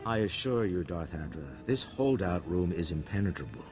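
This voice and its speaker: A man's voice: deep voice, animated, normal speed. A man playing a smug, assured official in a video game, formal and boastful in manner. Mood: smug assurance. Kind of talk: dramatic video game dialogue. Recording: very loud music behind.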